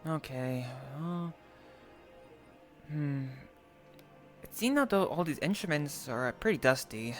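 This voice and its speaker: A performer as a young student new to a world of magic creatures, cautious and halting. The speech is slow and mid-pitched, with soft pauses and hums. Music plays behind the improvised role-play. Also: expressive. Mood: curious. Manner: hesitant, halting, full of sighs and hums. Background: background music.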